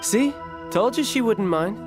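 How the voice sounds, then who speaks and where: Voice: medium pitch, animated, measured pace, music in the background, lightly acted. An actor playing a breezy, cocky young warrior in a video game, talking casually. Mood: breezy, teasing.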